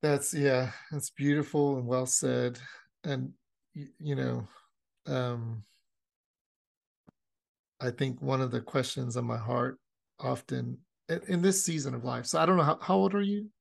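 Host, man, late 40s; mid pitch with strong vocal fry, earnest and reflective. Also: fairly even intonation, measured pace, pauses often. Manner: earnest, musing, soft affirming murmurs. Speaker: podcast host. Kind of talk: conversational interview.

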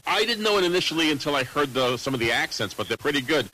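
A man from the United States chats casually as a radio panelist. He talks quickly in a bright, forward voice, with faint hiss behind him. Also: fairly high pitch, animated, rough recording. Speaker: radio talk show panelist. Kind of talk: casual reminiscing radio talk.

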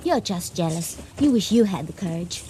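An actress playing a shy, bookish, lovestruck young woman in a radio drama, earnest and halting. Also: fairly low pitch, lively intonation, close to an everyday voice. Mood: determined. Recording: noisy 1980 radio drama recording.

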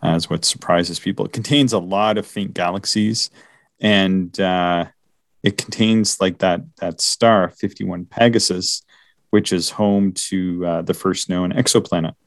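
Low-voiced man chatting about stargazing. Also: fairly even intonation, measured pace, dry recording, clean studio sound. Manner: rambling, hesitant, many fillers and restarts. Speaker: podcast co-host. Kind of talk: casual astronomy chat.

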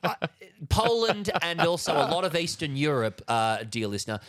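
A man's voice: a little high, sing-song, normal speed, a bit bright. A young man co-hosting a podcast, chatting casually and reading a news story aloud with jokes and laughs. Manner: jokey, laughs through lines, mock accents. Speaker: podcast co-host.

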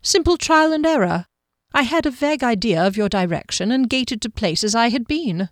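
Lady narrator doing a mysterious guardian guy, smooth n formal, very polite, fantasy storybook, dry sound. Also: a little high, expressive, normal speed, dry recording, voice pushed up, close to an everyday voice. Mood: apologetic, composed. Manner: formal, courtly, composed. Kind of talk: audiobook character dialogue.

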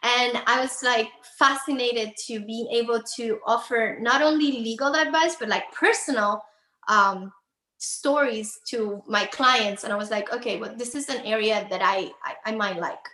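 A woman's voice: on the high side, normal speed, pushed and bright. Earnest Cuban-accented woman in an echoey room. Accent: Cuban Spanish. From Cuba. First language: Spanish. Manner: earnest, searching for words, repeats herself. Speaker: immigration attorney, guest. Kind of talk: conversational interview.

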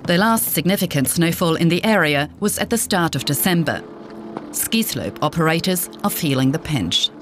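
A woman's voice: low voice, lively intonation, moderate pace, music in the background. A woman narrating a scripted television-style news report as a reporter.

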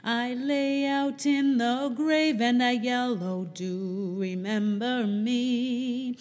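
A woman gospel singer delivering a spiritual in a slow, sung style. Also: fairly high pitch, steady tone, a little mellow. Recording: long reverberant hall, faint music.

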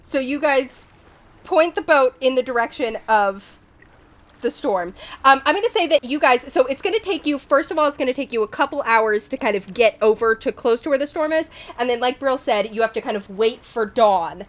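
A young woman running a tabletop game as game master, playful and quick to laugh. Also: high-pitched. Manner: playful, laughs often, lively asides.

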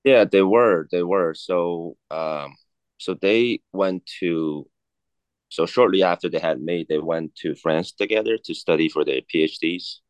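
A man in his thirties from China, a native Cantonese speaker, talking haltingly as an interview guest with many fillers and restarts. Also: low-pitched, smooth, no echo. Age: 30s. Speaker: guest, watch collector. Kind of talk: casual interview chat.

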